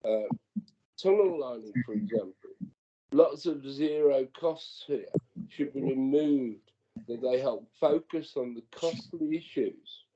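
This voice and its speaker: A man's voice: mid-range voice, warm tone, a little hiss or hum. A male councillor asking probing questions at a formal committee meeting. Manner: measured, probing questions read from notes. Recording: muffled lo-fi meeting audio.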